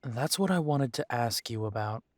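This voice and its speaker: A man's voice: mid-range voice, expressive, normal speed, no room sound, studio quality, lightly acted. A man playing a protective new werewolf pack leader in an audio roleplay, speaking earnestly and with some hesitation. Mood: concerned.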